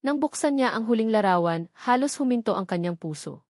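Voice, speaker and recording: A woman's voice: a little high, expressive, fairly quick, darkish tone, no echo. Woman narrating a dramatic story, dry recording.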